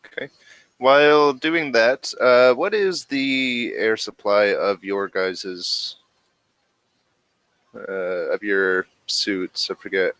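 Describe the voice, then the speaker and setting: A man with a low voice leads a tabletop role-playing game. He speaks slowly and haltingly, often searching for the right word, and the sound is dry and close. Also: fairly bright tone, no room sound. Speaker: game master.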